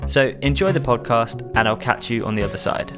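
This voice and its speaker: A man hosting a faith podcast in a relaxed, friendly chat with two guests. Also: medium pitch, a little fast, loud music behind the voice. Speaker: podcast host.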